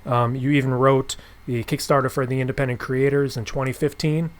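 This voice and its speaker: A man with a mid-pitched voice hosts an informal interview. He is encouraging toward his guest and phrases things haltingly, in an everyday conversational way. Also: little pitch movement. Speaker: podcast host, interviewer.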